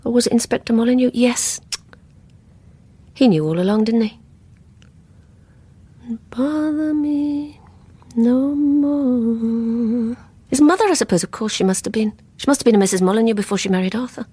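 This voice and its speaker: A woman's voice: on the high side, moderate pace, pauses often, warm tone, slightly rough, dry recording. An actress playing a haunted, bitter, unstable woman in a radio play, with a brooding, intense delivery. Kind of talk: radio play acting, dramatic monologue.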